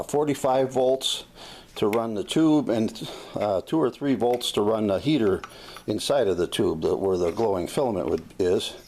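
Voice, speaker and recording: An American man giving a casual, unscripted demonstration as a hobbyist, talking in a folksy, plain-spoken, halting way. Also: on the low side, darkish tone. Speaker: hobbyist demonstrating a vintage gadget. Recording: clearly noisy amateur recording.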